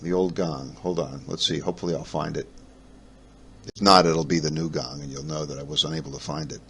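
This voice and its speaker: A man with a notably deep voice hosts a casual solo podcast. He rambles along haltingly, often pausing and restarting his sentences as he works through his notes. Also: steady tone, vocal fry, noisy background. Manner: halting, rambling delivery with frequent pauses and restarts. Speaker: podcast host. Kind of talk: casual solo podcast talk about ham radio.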